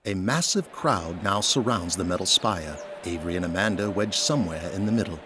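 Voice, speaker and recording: A man's voice: deep voice, a little fast, background noise. A man narrating an audio drama in a scripted, dramatic style. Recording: loud music behind the voice.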